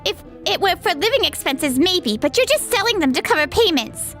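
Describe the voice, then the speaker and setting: A woman playing a spoiled, resentful wife in a comic dub, sharp and complaining. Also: extremely high pitch, very animated, normal speed, faint music behind, exaggerated voice. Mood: resentful nagging. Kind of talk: anime-style comic dub acting.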